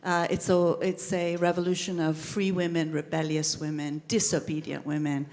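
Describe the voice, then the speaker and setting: A woman's voice: deep voice, level tone, moderate pace, darkish tone. A woman from Iran, an activist spokesperson, introducing herself on a panel. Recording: echoey hall, amplified voice.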